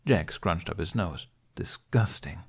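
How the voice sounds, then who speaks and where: A man's voice: lowish voice, expressive, normal speed, darkish tone. A man reading a story aloud as scripted narration. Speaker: podfic reader.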